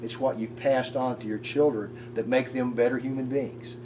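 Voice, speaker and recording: A man's voice: medium pitch, fairly even intonation. Earnest man in his fifties, distant mic. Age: 50s. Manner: earnest, halting, with frequent restarts. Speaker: porch conversation guest. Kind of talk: casual reflective chat. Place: outdoors on a front porch. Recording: distant mic with background noise.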